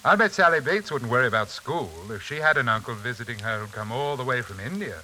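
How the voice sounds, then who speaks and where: Through light hiss on an old radio recording comes a sinister, pompous hypnotist. His bright, forceful voice sweeps widely up and down. He speaks with theatrical grandeur and silky menace, in 1940s suspense style. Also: medium pitch, expressive, fast talker, rough recording. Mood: silkily coaxing. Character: sinister, pompous uncle back from India, occult hypnotist.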